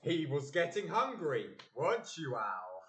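A man's voice: a little high, huge pitch swings, a little slow. A man playing a breezy, teasing member of a family in a community audio play. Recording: echoey room.

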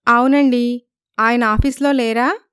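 A woman's voice: a little high, steady tone, unhurried, a bit bright, smooth, no room sound, studio quality. A woman voicing an anxious young wife in a cartoon story, polite and plaintive.

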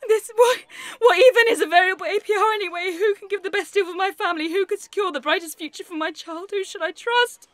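A woman's voice: very high voice, quick pace, few pauses, dry recording. An actress playing a bewildered, distraught young mother in an audio drama. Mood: anxious, desperate. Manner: bewildered, overwrought. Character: distraught young mother auditioning as voiceover artist.

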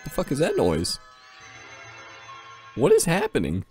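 A man's voice: mid-range voice, huge pitch swings, measured pace, darkish tone, faint music behind. A man giving casual let's play commentary, crude and exclamatory, swearing freely. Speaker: gaming video host.

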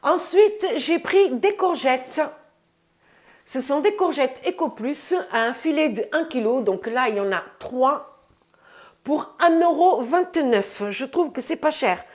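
High-pitched woman, slow-talking, stuffy nose from a cold, chatty and upbeat, lapel mic indoors. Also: expressive. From France. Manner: chatty, says "les amis" often, upbeat. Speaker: vlogger. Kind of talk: casual grocery haul vlog. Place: bright room indoors by a window.

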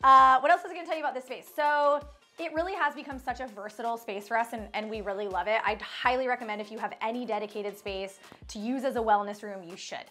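Woman in her thirties, fairly high voice, upbeat designer showing off her home, soft music under it. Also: fairly even intonation, fast. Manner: chatty, upbeat, laughs often. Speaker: interior designer. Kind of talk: casual home tour chat. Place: bright home interior rooms.

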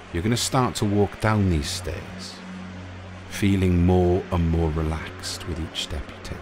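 A man narrating a guided sleep meditation in a soothing, gentle, unhurried way, leaving pauses between short phrases. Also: deep voice. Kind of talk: guided sleep meditation, hypnotic relaxation countdown. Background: music.

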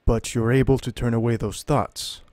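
An actress plays a calm female counselor in an audio drama. Her voice is remarkably low and soft-edged, recorded dry. She is measured and gently firm. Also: dry recording. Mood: calm concern.

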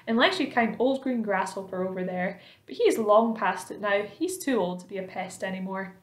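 A woman's voice: medium pitch, normal speed, lightly acted. A woman voicing a boastful, rascally male centipede in a children's story, brash, bossy and exclamatory. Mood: boastful, cheeky. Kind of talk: children's storybook read aloud. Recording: roomy, echoing indoor sound.

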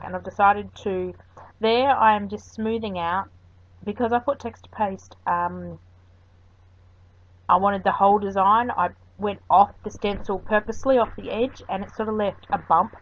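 A woman's voice: mid-range voice, normal speed. A woman chatting her way through a voice-over craft tutorial, rambling a little with frequent ums. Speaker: craft video host. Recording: dull lo-fi recording.

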